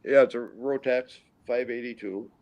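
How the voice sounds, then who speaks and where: A man in his sixties from Minnesota, a guest pilot telling stories in a rambling, halting way with many restarts. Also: mid-range voice, steady tone, darkish tone, dull audio. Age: 60s. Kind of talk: casual storytelling interview.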